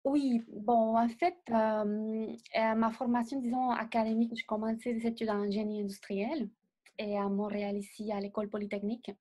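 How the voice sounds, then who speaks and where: A young woman, not a native speaker of the language, answering interview questions as a designer in a measured way and often pausing to find words. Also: on the high side, level tone, mellow. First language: not French. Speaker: designer guest.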